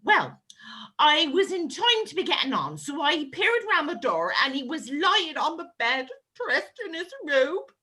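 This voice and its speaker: A woman playing a young, naive, excitable servant maid with rustic speech in a comic murder mystery. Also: sits high, unhurried, full character voice. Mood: coy, nervous.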